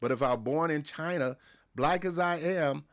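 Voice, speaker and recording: A man's voice: fairly high pitch, moderate pace. Emphatic man ranting to camera. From United States. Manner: emphatic, repetitive, stumbles and restarts. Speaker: wrestling show host. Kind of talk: casual opinion rant to camera.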